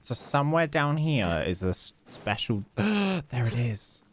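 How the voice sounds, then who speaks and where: Lively-pitched man streaming a game. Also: unhurried. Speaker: game livestreamer. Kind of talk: casual live gameplay commentary. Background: game music.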